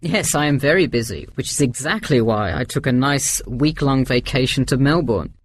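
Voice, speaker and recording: A man's voice: medium pitch, moderate pace, a little hiss or hum, lightly acted. A man playing an easygoing middle-aged tourist who gives agreeable, explanatory answers in a scripted listening-test dialogue. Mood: relaxed, candid. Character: easygoing forty-one-year-old male tourist answering survey. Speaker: survey respondent.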